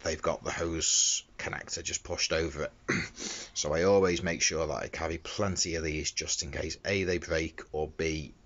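Low-pitched man, dull noisy recording. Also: fairly even intonation, normal speed, fairly bright tone. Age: middle-aged. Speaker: window cleaner demonstrating a fitting. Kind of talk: casual hands-on how-to talk. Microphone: handheld phone. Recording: dull phone recording with background noise.

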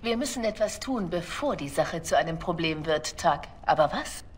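A woman's voice: lowish voice, lively intonation, moderate pace, a little hiss or hum, close to an everyday voice. An actress voicing a young female spy in a video game, earnest and questioning, loyal but worried. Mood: uneasy, earnest. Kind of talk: dramatic video game dialogue.

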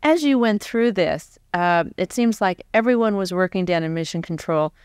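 A woman's voice: lowish voice, animated, moderate pace, clean tone, dry recording, studio quality. An American woman in her forties interviewing conversationally, reworking her questions as she goes. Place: seated on a stage before a live audience. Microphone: large microphone on stand close to mouth.

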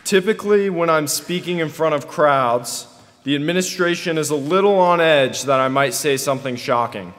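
A young American man in his late teens delivering a prepared commencement speech in a deliberate, deadpan way. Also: a little high, a little hiss or hum. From North Carolina, United States. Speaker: student graduation speaker. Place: podium on a stage in a large hall. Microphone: podium microphone. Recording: echoey large hall, long reverb.

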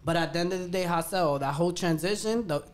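A man with a somewhat high voice, fired up and slangy, holding forth in a loose podcast chat with friends. He talks with emphasis and lots of street slang. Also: fast talker. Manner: emphatic, slangy, preachy about money. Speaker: podcast guest co-host. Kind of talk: casual group podcast chat.

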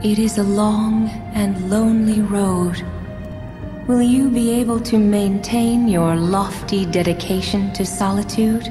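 Slow, echoing, serene goddess voiced by a woman. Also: medium pitch, steady tone, close to an everyday voice. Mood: solemn. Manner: solemn, measured, formal. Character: serene, solemn goddess, grave and gentle. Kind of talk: video game cutscene acting. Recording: long echoing reverb, loud music. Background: game music.

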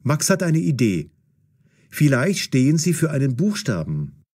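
A man narrating a scripted story for language learners in a deliberate, carefully enunciated, dramatic storytelling style. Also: medium pitch, huge pitch swings, a little mellow, husky.

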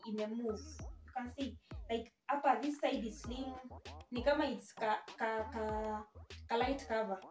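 Bright-free, high-voiced young Kenyan woman vlogger. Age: young adult. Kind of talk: casual vlog chat, mixing English and Swahili.

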